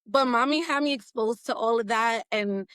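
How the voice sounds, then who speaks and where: A woman's voice: a little high, normal speed, a bit bright, clean tone, no room sound, clean studio sound. A woman from Puerto Rico chatting as a podcast guest, telling stories with lots of casual fillers. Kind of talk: casual interview chat.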